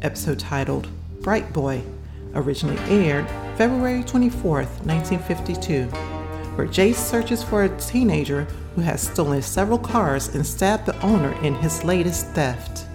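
Female podcast host, deep register, scripted welcome delivery with clear music bed underneath. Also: expressive, normal speed. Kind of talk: scripted introduction.

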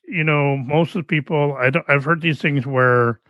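A man's voice: fairly high pitch, normal speed, no echo, muffled recording. Man hosting a podcast chat. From Canada. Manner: rambling, friendly, with restarts. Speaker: podcast host. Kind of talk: casual interview chat.

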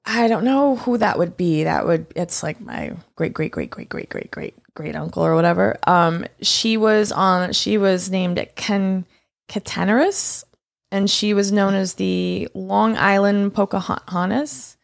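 A woman's voice: mid-range voice, a little slow, creaky. A woman from East Texas chatting casually on a podcast, telling a rambling story with lots of restarts. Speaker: guest co-host.

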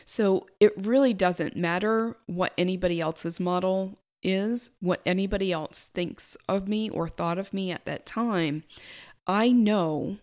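A middle-aged woman with a soft, quiet speaking voice, reflecting on her own life in a halting, thoughtful way. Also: lowish voice. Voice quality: soft-spoken. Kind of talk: personal reflective monologue.

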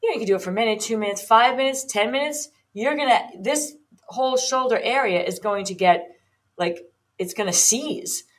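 A woman's voice: a little high, a little fast. Chatty woman in her fifties hosting. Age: 50s. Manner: chatty, explanatory, with frequent ums and restarts. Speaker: podcast host and personal trainer. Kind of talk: casual solo podcast talk.

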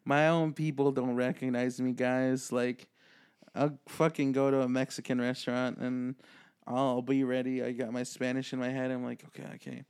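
A man's voice: mid-range voice, flat delivery, normal speed, darkish tone, clean tone. A man in his twenties with a Midwestern American accent, co-hosting a casual comedy podcast, joking and swearing freely. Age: 20s. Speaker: podcast co-host.

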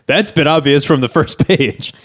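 A man's voice: fairly high pitch, normal speed, a bit bright. A man co-hosting a podcast in casual banter, critiquing a script in an explanatory way, often restarting mid-thought. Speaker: podcast co-host.

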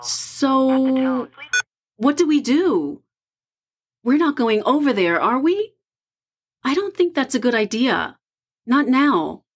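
A woman acting a worried, hesitant young woman in an audio horror drama, with a subdued, husky and breathy delivery. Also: sits high, very animated, slow pace, pauses often, full character voice.

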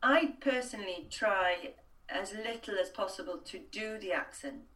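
A woman's voice: medium pitch, normal speed, a bit bright. Chatty woman voice coach, roomy distant sound. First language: Welsh. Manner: chatty, hesitant, many restarts and fillers. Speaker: voice and accent coach. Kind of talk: relaxed interview answers.

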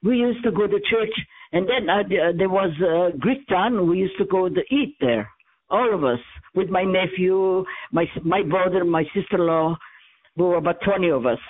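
A woman in her nineties, born in France and speaking, her Armenian, reminiscing haltingly and often searching for words. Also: fairly low pitch. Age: 90s. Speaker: interviewee. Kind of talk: reminiscing in casual conversation. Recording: tinny phone-like line, dry.

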